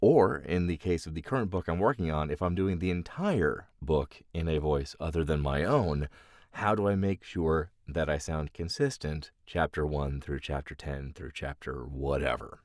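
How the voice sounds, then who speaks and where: A man's voice: extremely low pitch, normal speed, a little mellow, dry recording, clean studio sound. A man who works as an audiobook narrator and voice actor, explaining his craft in casual talk.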